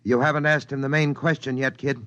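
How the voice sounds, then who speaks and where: An actor playing a calm, shrewd, plain-spoken spacefaring man in a 1950s radio drama. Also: mid-range voice, fairly quick, no room sound, close to an everyday voice. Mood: cool, deliberate. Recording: dull, dry vintage radio recording.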